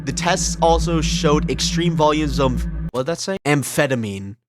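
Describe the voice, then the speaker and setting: A man hosts a reaction video with loud music running under his voice. He talks casually and slangily, switching between reading text aloud and giving his own exclaimed asides. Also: a little high, normal speed.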